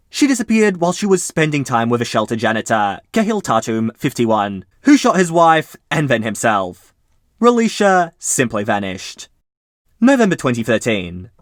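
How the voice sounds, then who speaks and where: A man hosting his channel, reading mystery posts aloud and breaking off for casual asides in a lively storytelling way. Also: mid-range voice, no echo, clean studio sound.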